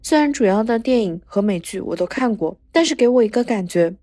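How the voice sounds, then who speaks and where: A woman's voice: a little high, lively intonation, fairly quick, dark tone, dry recording, studio quality. A woman hosting a podcast and narrating a scripted story recap.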